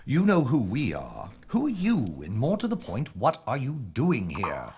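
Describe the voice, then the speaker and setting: An actor playing a brusque, dogged London police inspector in a period audio drama, British, with a clipped, commanding yet formally polite manner. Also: male voice, medium pitch, huge pitch swings, measured pace, darkish tone, muffled recording, slight background noise. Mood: probing.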